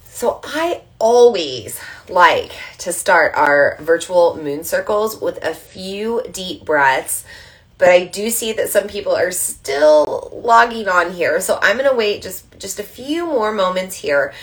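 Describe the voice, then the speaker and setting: A woman hosting a live online moon circle, slightly stuffy from allergies, chatting casually and gently guiding breathing. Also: medium pitch, animated, slow pace. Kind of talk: casual livestream with guided breathing. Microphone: livestream camera mic.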